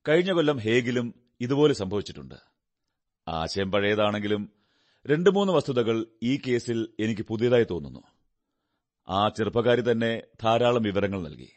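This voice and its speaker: A man playing a brilliant, condescending detective in a radio drama, speaking in a deliberate, instructive, theatrical way. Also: mid-range voice. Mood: musing, instructive. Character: brilliant, self-assured male detective, observant and condescending.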